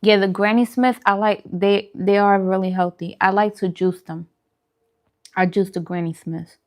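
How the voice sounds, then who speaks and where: A woman's voice: medium pitch, steady tone, moderate pace. A woman vlogger chatting casually with viewers, giving emphatic, opinionated food advice and repeating phrases for emphasis. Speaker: vegan food vlogger hosting a mukbang. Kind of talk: casual livestream chat answering viewers.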